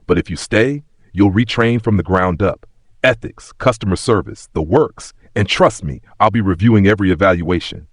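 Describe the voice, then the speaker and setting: Man reading as a deep, stern male company boss. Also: dry recording, clean studio sound. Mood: firm, stern. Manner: measured, firm, crisp. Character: calm, stern male airline CEO, quietly menacing. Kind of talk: audio story dialogue.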